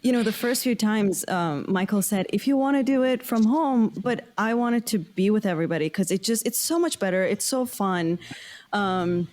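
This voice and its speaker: A woman's voice: mid-range voice, expressive, normal speed, darkish tone. A woman with a mid-range voice speaks in a casual, conversational way. She sounds like a cast member chatting about her work in a group interview. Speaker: cast member of an audio drama.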